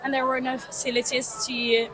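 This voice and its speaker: A woman from Ukraine with a rather high, flat voice speaks frankly, her points flowing one into the next. A busy crowd murmurs all around her. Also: normal speed, rough recording. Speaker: scout leader.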